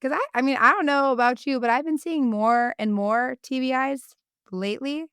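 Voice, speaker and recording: A woman's voice: high voice, moderate pace, a bit bright, clean tone, no room sound, clean studio sound. A young adult woman co-hosting a casual podcast, sounding congested from a cold, enthusiastic and rambling. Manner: enthusiastic, rambling, self-correcting. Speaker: podcast co-host, speech-language pathologist. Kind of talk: casual chat.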